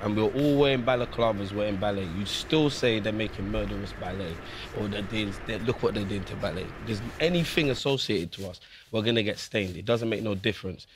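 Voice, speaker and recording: Low-voiced rapper explaining earnestly. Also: male voice, a little fast, background noise. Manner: earnest, explaining his views. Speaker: rapper interviewee. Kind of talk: interview answer. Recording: music playing underneath.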